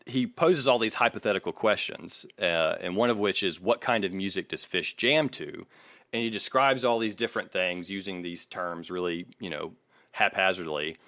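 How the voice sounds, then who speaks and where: Male podcast host, lower register, analytical and opinionated, casual swearing, dry sound. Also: normal speed, fairly bright tone, no echo. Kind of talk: casual music critique chat.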